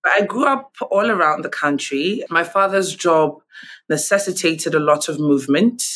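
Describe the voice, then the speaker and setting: A young Ghanaian woman with a Ghanaian accent, chatting as a guest over a remote call, lively with frequent laughs. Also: sits low, moderate pace, slight background noise. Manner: lively, laughs often, many fillers. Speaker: guest, TV host. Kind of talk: casual interview chat. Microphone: video call.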